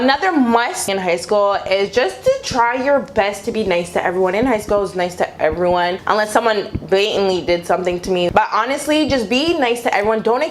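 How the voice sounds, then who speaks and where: A woman's voice: medium pitch, slight background noise. A young adult woman chatting casually to viewers as a vlogger, giving advice in an informal way and repeating phrases for emphasis.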